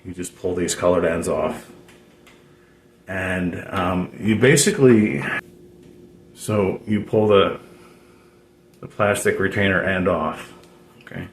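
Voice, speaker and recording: A man giving a casual, unscripted DIY how-to in a halting, rambling way, repeating himself as he explains. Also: low-pitched, a bit bright. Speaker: DIY radio hobbyist presenter. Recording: roomy echo, noticeable background noise.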